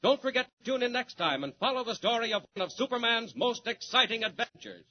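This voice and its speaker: A man's voice: extremely high pitch, lively intonation, a little fast, highs cut off. Dramatic 1940s radio announcer, man. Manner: dramatic, declamatory. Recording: scratchy 1940s radio transcription.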